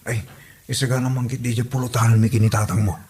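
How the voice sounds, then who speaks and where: A man's voice: medium pitch, level tone, fast, a little mellow, close to an everyday voice. A man playing a cheeky, wheedling husband who likes his liquor, with an Ilocano Filipino accent in a comedy audio drama. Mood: casual, defiant. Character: cheeky hard-drinking husband coaxing his father-in-law to drink. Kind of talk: comedy radio drama acting.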